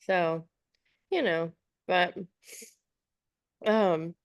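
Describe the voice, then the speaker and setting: A woman's voice: on the low side, very slow, smooth, no room sound, lo-fi sound. A woman chatting casually as a podcast co-host, chatty and giggly, with a slightly stuffy nose. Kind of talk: casual chat.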